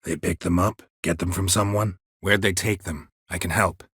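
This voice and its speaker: An actor playing a stoic, world-weary monster hunter in a video game, with a gravelly, husky voice and terse, dry, deadpan delivery. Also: male voice, low voice, moderate pace, no room sound, full character voice. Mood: guarded, probing.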